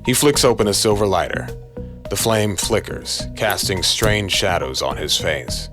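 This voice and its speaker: A man narrating a scripted noir horror story in a deliberate, dramatic way. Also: fairly low pitch, very animated. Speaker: narrator and voice actor. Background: faint music.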